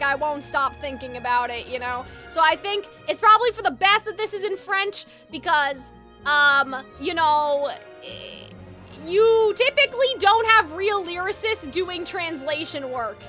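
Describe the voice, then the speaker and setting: Streamer girl, super high bright voice, bit stuffed up, rambling casual opinions to chat, some music in the back. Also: expressive, measured pace. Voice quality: slightly congested, phlegmy. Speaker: game livestreamer. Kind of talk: casual livestream chat with viewers.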